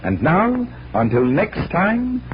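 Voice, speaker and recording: Confident stage magician sleuth on old radio show, super swoopy theatrical voice, muffled sound. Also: male voice, sits high, huge pitch swings, takes it slowly, full character voice. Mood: genial explaining. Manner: instructive, theatrical showman. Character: confident, genial male magician detective explaining tricks. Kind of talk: 1940s radio acting. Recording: dull, noisy vintage radio recording.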